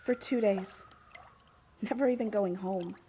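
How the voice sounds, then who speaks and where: An actress playing an earnest young heiress in a radio drama, with urgent, impassioned delivery. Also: female voice, mid-range voice, a little slow, warm tone, slight background noise, lightly acted. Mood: anxious.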